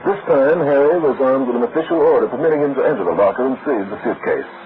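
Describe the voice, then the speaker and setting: An actor playing the measured, dramatic narrator of an old-time radio crime drama, reading scripted storytelling. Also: male voice, mid-range voice, natural voice. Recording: tinny, noisy old radio recording.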